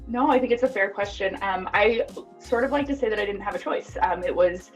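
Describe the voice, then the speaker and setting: A woman's voice: mid-range voice, a little fast, muffled recording, faint music behind. A woman in her thirties from Costa Rica, talking openly and earnestly as a podcast guest, with many ums and likes. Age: 30s. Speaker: podcast guest, app founder. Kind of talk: reflective interview talk.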